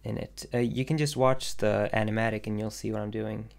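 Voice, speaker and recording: A young man from Sweden talks to his stream viewers in a casual, hesitant way, full of restarts and fillers. His voice sits low, with a gravelly fry and a flat, even delivery. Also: brisk. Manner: hesitant, many ums and restarts, soft-spoken. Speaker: independent animator streaming. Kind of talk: casual livestream chat answering questions.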